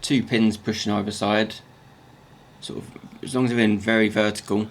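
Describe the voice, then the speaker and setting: Halting man with a croaky gravelly voice. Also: fairly low pitch, flat delivery. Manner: halting, with many repeated words and restarts. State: croaky morning voice. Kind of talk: casual workshop tutorial voice-over.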